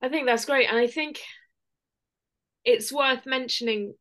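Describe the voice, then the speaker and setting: This is a woman with a voice pitched a little higher than average. She speaks as a podcast co-host. Her delivery is casual, unscripted chat. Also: measured pace, fairly bright tone.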